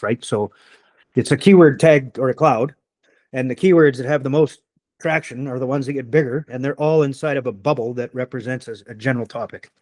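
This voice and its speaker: A man's voice: medium pitch, a little mellow, dry recording. A man hosting a podcast in casual conversation, rambling and swearing often, with a slight rural twang. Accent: slight rural Canadian twang. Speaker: podcast host.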